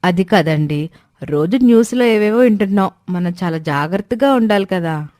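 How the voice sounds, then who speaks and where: A woman's voice: mid-range voice, animated, moderate pace, a little mellow, dry recording, clean studio sound, close to an everyday voice. A woman voicing an angry, suspicious young mother who is fiercely possessive and accusing, in a storybook drama. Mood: worried. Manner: accusing, confrontational.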